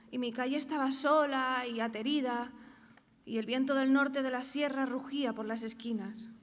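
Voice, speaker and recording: A woman's voice: sits high, natural voice. A woman playing a proper young unmarried lady of 1895 in a theatrical, declamatory radio drama monologue. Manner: declamatory, rhetorical. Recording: echoey hall, long reverb.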